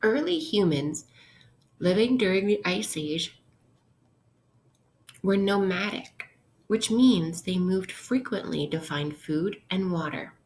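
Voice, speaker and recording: A woman teacher reading aloud an interactive lesson for young children, instructional and pausing to pose questions to her listeners. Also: mid-range voice, lively intonation, a little mellow, rough recording.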